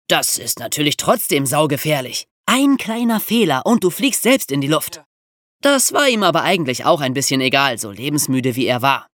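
Podcast host for conversational storytelling: lively, swinging intonation, colloquial delivery, dry studio sound. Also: medium pitch, dry recording. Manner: animated, colloquial, rhetorical questions. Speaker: podcast host telling true crime stories.

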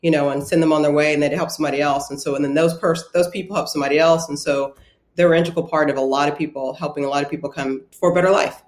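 A woman from Ghana answers interview questions thoughtfully, often pausing with an um. Her voice has a roomy, echoey sound, as if recorded remotely in a bare room. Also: deep voice, steady tone, a little mellow. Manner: thoughtful, many ums and restarts. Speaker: guest, sports psychologist.

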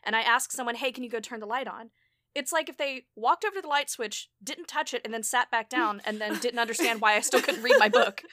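A woman's voice: fairly high pitch, brisk, sharp tone, slight background noise. A woman hosting a podcast in casual conversation, chatty and laughing often as she tells stories. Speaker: podcast host.

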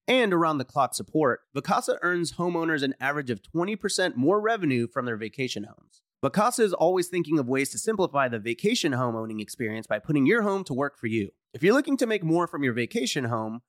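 A man, a podcast host, reading a scripted ad with a smooth, lively delivery. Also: medium pitch, dry recording, studio quality. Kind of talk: scripted ad read.

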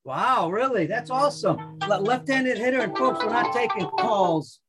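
A man's voice: very high-pitched, animated, normal speed, over loud music. A man hosting a podcast, chatting upbeat and rambling, often restarting his sentences. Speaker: podcast host. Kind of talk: casual chat.

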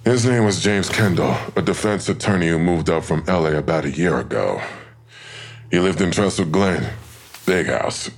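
Terse male detective for audio drama: extremely low voice, heavy fry, matter-of-fact delivery. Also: expressive, moderate pace, faint music behind. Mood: terse, serious. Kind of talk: full-cast audio drama acting.

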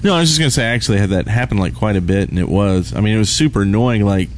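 A man with a fairly low voice co-hosts a gaming podcast in a casual, conversational way. Background noise from a convention floor surrounds him. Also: rapid-fire. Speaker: podcast co-host. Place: live on an open convention floor. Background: convention ambience and loudspeaker.

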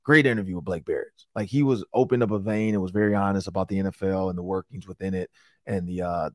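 Hoarse, raspy Midwestern man host. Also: sits low, quick pace, no echo, studio quality. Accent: Upper Midwest American. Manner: rambling, excitable storytelling. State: hoarse from yelling. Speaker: sports talk show host. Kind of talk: casual podcast chat.

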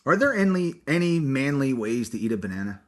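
Loud teasing podcast host, a man. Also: medium pitch, very animated, a little fast. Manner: loud, boisterous and teasing, swears freely. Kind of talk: casual banter.

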